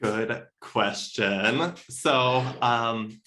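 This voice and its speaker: A man with a bright, crisp-edged voice of medium pitch talks as an interview guest. He explains things casually and sounds upbeat and keen. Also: drawn-out words. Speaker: podcast guest, literary journal editor.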